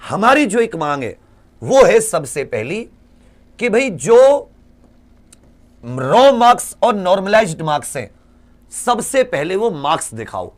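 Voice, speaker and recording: A man speaks in a fairly high voice with very lively, swinging intonation. He addresses students like a coaching teacher rallying them, emphatic and urging, throwing out rhetorical questions and repeating key phrases. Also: a little slow, pauses often.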